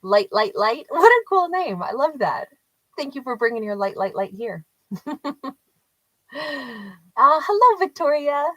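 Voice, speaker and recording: A woman's voice: high voice, big pitch swings, a little slow, pauses often, a bit bright, no echo. A chatty woman tarot storyteller who talks casually with live viewers and laughs often. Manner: rambling with frequent restarts and fillers. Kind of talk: casual livestream reading and chat with viewers.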